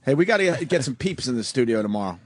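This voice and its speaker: Lively, teasing male radio host. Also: medium pitch, fast. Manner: teasing, opinionated. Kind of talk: casual radio banter. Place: radio studio. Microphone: studio mic.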